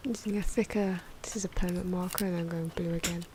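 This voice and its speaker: A woman talks softly in a lowish voice, sometimes slipping into a whispery tone. She muses and corrects herself in an unscripted ASMR drawing commentary. Faint ticking and pen scratching sit behind her. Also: steady tone, fast, noisy background. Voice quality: soft-spoken, at times whispery. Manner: unscripted, musing, self-correcting. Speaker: ASMR artist narrating own drawing. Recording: clock and pen noises behind. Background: ticking clock, pen scratching.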